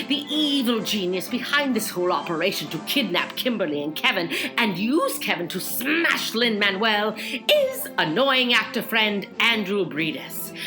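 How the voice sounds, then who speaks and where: A woman announcer introducing a radio play in a melodramatic, self-important way, stretching words for emphasis. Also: mid-range voice. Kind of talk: theatrical scripted narration.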